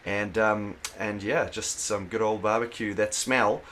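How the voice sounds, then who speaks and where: South African man, low measured voice. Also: steady tone, a bit bright. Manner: thoughtful, hesitant with fillers and restarts. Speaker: pastor, interview guest. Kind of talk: casual interview conversation.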